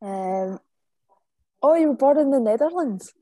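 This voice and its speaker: A woman's voice: high-pitched, animated, a little slow, dark tone, no room sound. A woman hosting a video call, chatty and a little flustered while sharing her screen. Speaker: host. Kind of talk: casual chat on a video call.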